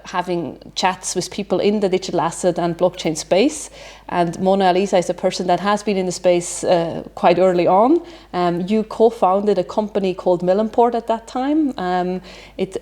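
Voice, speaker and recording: A woman's voice: medium pitch, mellow. Friendly woman host with hesitant phrasing. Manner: friendly, hesitant, many ums and restarts, frequent mm-hmm. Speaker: podcast host. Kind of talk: interviewing a guest.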